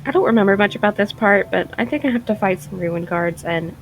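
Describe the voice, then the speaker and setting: A woman's voice: mid-range voice, animated, a little fast. A woman livestreaming a game, chatting casually with informal asides. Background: faint game music.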